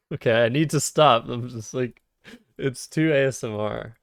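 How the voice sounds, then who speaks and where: A man streaming a word game who thinks aloud about his moves in a calm, soothing, soft-spoken way, recorded on a cheap microphone. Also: medium pitch, a little slow, dry recording, clean studio sound. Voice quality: vocal fry. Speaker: Scrabble streamer. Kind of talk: live-streamed game commentary.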